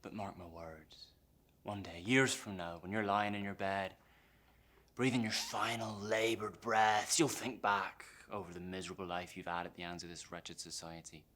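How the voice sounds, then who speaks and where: A man playing an embittered, defiant pirate mutineer in a theatrical monologue, brooding and swinging between hushed menace and impassioned outbursts. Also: low voice, fairly even intonation, moderate pace. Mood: taunting, fervent. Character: embittered, defiant English pirate mutineer, a former pressed sailor.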